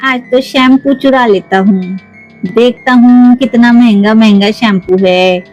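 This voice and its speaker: A woman's voice: on the high side, unhurried, darkish tone, faint music behind, natural voice. A woman voicing a sly, greedy male cook in a toy cartoon, gloating as he talks to himself.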